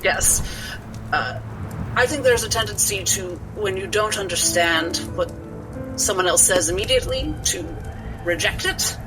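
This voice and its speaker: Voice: medium pitch, unhurried, music in the background, low-quality recording. A player speaking in character in a formal, articulate, lecturing way. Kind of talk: in-character tabletop play.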